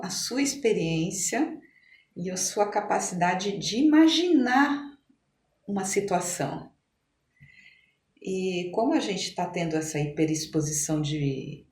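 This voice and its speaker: A woman with a Brazilian accent explaining things conversationally as an interview guest, in a halting, emphatic way like a medical professional. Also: on the low side. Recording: echoey room, faint background noise.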